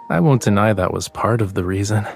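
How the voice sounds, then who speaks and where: An actor playing a young, brooding, teasing artist in a romance game, speaking softly and intimately. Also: male voice, on the low side, vocal fry. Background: music behind the voice.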